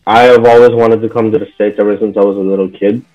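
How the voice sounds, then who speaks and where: A man's voice: fairly low pitch, fast, lo-fi sound. A young man from Bahrain being interviewed, talking casually with lots of "like" and "you know". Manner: relaxed, full of "like" and "you know" fillers. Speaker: interview guest.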